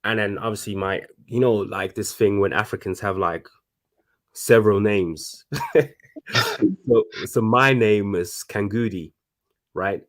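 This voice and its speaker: Guy in his 30s, low voice, designer chatting, keeps restarting lines. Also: a little slow, pauses often. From Congo (DRC). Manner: animated, with frequent restarts. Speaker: guest, fashion designer. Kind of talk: casual conversation.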